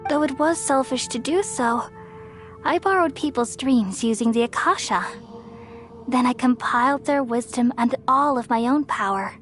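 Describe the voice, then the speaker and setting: A woman voicing an ancient, motherly goddess of wisdom who speaks calmly and soothingly in a game cutscene. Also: sits high. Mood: serene. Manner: calm, measured and soothing. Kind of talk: video game cutscene dialogue. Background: game score music.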